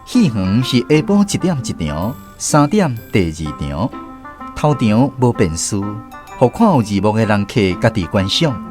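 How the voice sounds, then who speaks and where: A man's voice: fairly low pitch, sing-song, unhurried, music in the background. An actor with a Taiwanese accent playing a man who narrates memories of his boyhood apprenticeship in a scripted radio drama. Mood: explanatory. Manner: reflective storytelling, explains as he goes.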